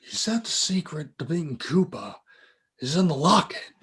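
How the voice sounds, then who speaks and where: Man acting Mario, husky wisecracking everyman hero. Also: on the high side, very animated, normal speed, fairly bright tone, full character voice. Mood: indignant. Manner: exclamatory, wisecracking. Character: Mario, scrappy sarcastic working-class man in danger.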